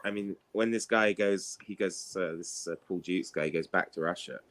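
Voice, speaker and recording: Low voiced guy rambling through stories in a casual group chat. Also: normal speed, fairly bright tone. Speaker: participant in an online book discussion. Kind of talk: casual chat, anecdotal storytelling.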